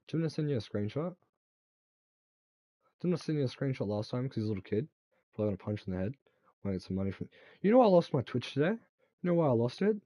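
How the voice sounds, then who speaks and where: A man's voice: lowish voice, dark tone, dry recording. A man in his twenties, drunk, rambling crudely and swearing while he plays a game and chats. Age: 20s. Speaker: game streamer. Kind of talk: casual chat while gaming.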